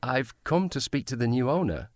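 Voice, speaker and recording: A man's voice: medium pitch, very animated, a little mellow, lightly acted. Man acting a reserved, formal police sergeant. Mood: courteous surprise. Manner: formal, measured, courteous. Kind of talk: period audio drama acting.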